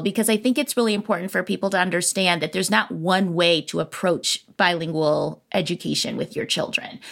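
Gravelly-voiced woman hosting a talk. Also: lowish voice, fairly bright tone. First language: English. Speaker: podcast host. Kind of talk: casual conversation.

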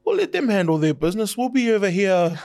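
A man's voice: sits high, very animated, fast talker, vocal fry, a little mellow. A man, a tattoo artist whose first language is Tongan, talking in an easygoing, reflective way with jokey asides on a casual podcast.

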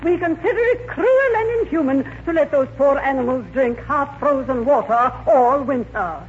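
Pompous club matron, fluttery, very high-pitched, affected diction, old radio comedy, dull noisy sound. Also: female voice, animated, normal speed. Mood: earnest, formal. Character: haughty, fluttery society matron, earnest club chairwoman. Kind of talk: 1940s radio comedy acting. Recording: noisy, muffled 1940s radio recording.

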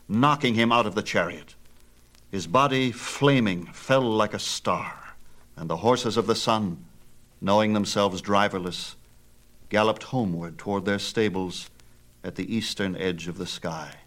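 Low-voiced man, dramatic storyteller. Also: fairly bright tone. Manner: theatrical, measured, emphatic delivery. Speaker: audiobook narrator. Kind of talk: dramatic storytelling narration of myth.

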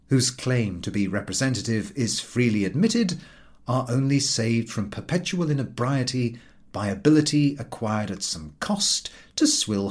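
A man narrating a literary essay as an audiobook reader, in measured, emphatic, oratorical phrasing. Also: medium pitch.

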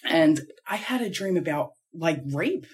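A woman's voice: sits low, lively intonation, measured pace, over a noisy crowd. A woman, a daycare worker chatting as a podcast guest. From Northeast Philadelphia. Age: 40s. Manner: talkative, lots of "like" fillers. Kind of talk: casual chat.

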